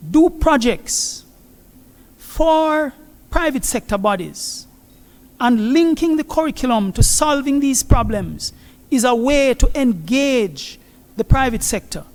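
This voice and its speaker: A man answering a question at an academic conference in a measured, formal way. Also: very high-pitched, expressive. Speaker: conference presenter. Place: large conference hall. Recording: long hall reverb.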